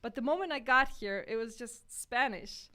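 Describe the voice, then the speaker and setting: A woman's voice: on the high side, animated, moderate pace, bright, forceful tone, clear, resonant tone. A woman from Poland whose first language is Polish, co-hosting a radio podcast and explaining things earnestly with some hesitation. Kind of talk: casual chat.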